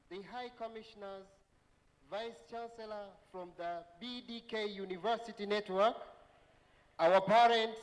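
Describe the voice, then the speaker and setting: A young man from Zanzibar with a Tanzanian accent, reading a formal prepared speech as representative of a graduating class. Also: high-pitched. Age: young adult. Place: large event tent. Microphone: handheld microphone. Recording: reverberant PA sound in large tent.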